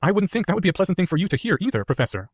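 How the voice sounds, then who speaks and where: Young boy wizard, higher voice, quick and earnest, as a male reader plays him. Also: sing-song, husky, dry recording, clean studio sound, full character voice.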